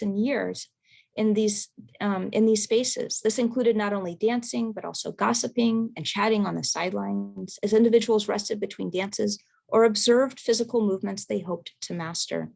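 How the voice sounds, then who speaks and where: A woman reads a prepared research paper aloud over a video call. Her voice sits in a middle pitch with a gravelly, fry-tinged edge. She keeps a careful, measured pace. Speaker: academic presenter. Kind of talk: reading a prepared research talk.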